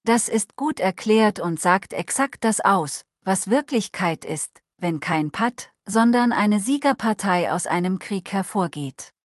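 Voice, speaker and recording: A woman reading the part of a calm, formal advisor whose native language is not German, speaking in a measured, polite way. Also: mid-range voice, dry recording, clean studio sound.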